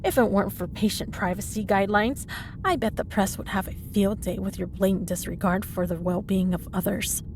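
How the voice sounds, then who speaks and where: Woman acting as young villainess, mid-pitched, teasing, mock-sweet, smug drawl, comedy audio drama, clearly noisy rumbling recording. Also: fairly even intonation, brisk, a little mellow, natural voice. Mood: smug, playful. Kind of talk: comedic audio roleplay banter.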